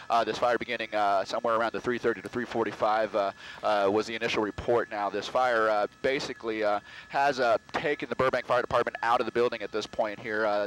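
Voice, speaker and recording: Fast, halting American male field reporter. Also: medium pitch, fairly bright tone. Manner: halting, repeats phrases. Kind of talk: live breaking-news report, ad-libbed. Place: outdoors on a street at the scene. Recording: noisy outdoor live feed with traffic.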